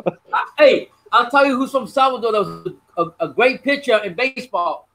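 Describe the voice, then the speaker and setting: A man's voice: very high-pitched, expressive, normal speed, fairly bright tone. A middle-aged man in a casual chat, playfully quizzing others and dropping in Spanish phrases. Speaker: guest, host's father.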